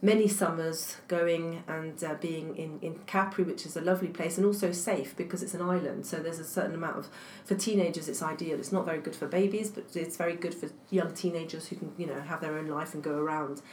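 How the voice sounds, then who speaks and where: A woman with a lowish voice whose first language is French, speaking as an academic guest in an interview. She talks in a thoughtful way and fills her pauses with plenty of ums. The recording has a roomy echo and a faint hiss underneath. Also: steady tone, a little mellow. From England. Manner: thoughtful, many fillers and restarts. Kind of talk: interview answers. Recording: echoey room, faint noise.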